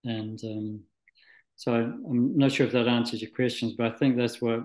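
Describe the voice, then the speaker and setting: Man, mid-pitched voice, halting reflective delivery, conversational interview answers, roomy echo. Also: fairly even intonation, a little mellow. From Scotland, moved to New Zealand at nine. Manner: halting, thoughtful, searching for words. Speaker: interview guest, spiritual practitioner. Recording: echoey room.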